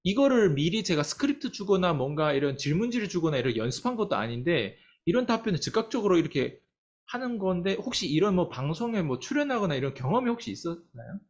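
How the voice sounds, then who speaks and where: A man's voice: sits high, sing-song, fast. A man interviewing a guest, asking long, winding questions with hesitations and frequent murmured acknowledgments. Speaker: interviewer, coaching company head. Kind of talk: casual interview hosting.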